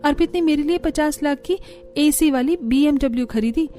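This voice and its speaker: A woman's voice: high-pitched, moderate pace, dark tone, faint music behind, lightly acted. A woman voicing a boastful, spoiled young newlywed in a cartoon story, with a whiny, dramatic delivery. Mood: haughty. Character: boastful, spoiled young newlywed daughter-in-law flaunting her in-laws' riches. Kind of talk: Hindi cartoon story dialogue.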